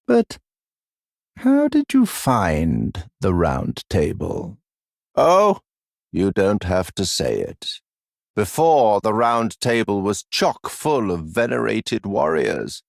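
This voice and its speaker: Smug fantasy game mentor, low-pitched, creaky, mock-sympathetic, theatrical, sinister, condescending. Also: male voice, huge pitch swings, dry recording, studio quality, heavily acted. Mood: sarcastic praise. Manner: mock-sympathetic, theatrical, drawn-out deliberate phrasing.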